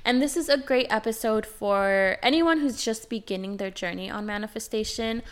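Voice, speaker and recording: A young woman hosting her own podcast, talking casually and directly, sounding nasal and raspy from a cold. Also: mid-range voice. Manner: chatty, direct, occasionally swears. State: has a cold. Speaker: podcast host and life coach. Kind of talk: casual solo podcast monologue.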